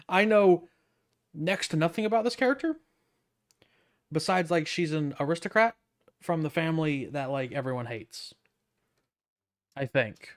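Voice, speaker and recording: A man's voice: fairly high pitch, lively intonation, a little slow, long pauses. A man giving casual commentary as he streams a game, with a wry, sardonic manner.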